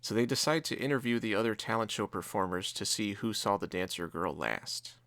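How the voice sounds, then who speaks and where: A man's voice: on the low side, expressive, a little fast, a bit bright, a little hiss or hum. A man co-hosting a review, casually recapping and commenting on a TV episode. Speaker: reviewer, co-host.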